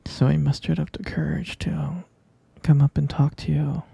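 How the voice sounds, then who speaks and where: A man playing a sleepy, affectionate half-vampire boyfriend in an intimate ASMR roleplay, murmuring softly and haltingly in a breathy, whispery voice. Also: on the high side, level tone, dry recording, full character voice. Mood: tender, reminiscing. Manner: drowsy, halting, murmured endearments.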